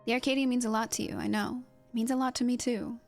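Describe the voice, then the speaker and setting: A woman voicing a concerned game character, reading grave dialogue in a measured, serious and sympathetic manner. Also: fairly high pitch, fairly even intonation, soft background music, natural voice.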